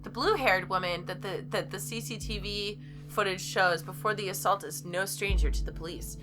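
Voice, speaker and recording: A woman's voice: medium pitch, normal speed. A woman hosting a gaming video, reading game text aloud and chatting in a playful way, sometimes stumbling over the words. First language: English. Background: game music.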